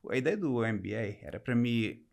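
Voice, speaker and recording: A man's voice: mid-range voice, lively intonation, moderate pace, gravelly, a little mellow. Man with a Portuguese accent, reflective and explanatory. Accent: Portuguese, softened by years in Brazil. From Portugal, raised there from age seven. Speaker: podcast guest, business executive. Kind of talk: conversational interview, personal career account.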